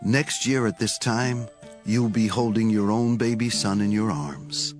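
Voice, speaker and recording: A man playing an authoritative, caring Hebrew prophet in a dramatized scripture audiobook, with a measured, commanding way of speaking. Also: on the low side, faint music behind, natural voice. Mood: solemn promise.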